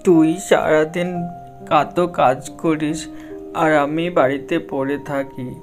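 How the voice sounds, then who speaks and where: A man acts out an old village grandfather from a cartoon tale. He sounds frail and caring, a little high in pitch. Music sits behind the voice. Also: steady tone, slow. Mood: concerned, loving. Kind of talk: cartoon story dialogue.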